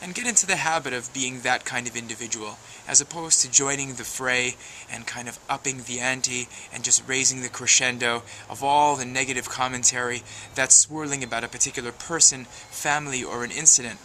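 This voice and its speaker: A man's voice: medium pitch, fairly even intonation, fairly quick, few pauses, pushed and bright, a little hiss or hum, low-quality recording. A man giving a wordy, emphatic, preachy advice monologue as a video blogger.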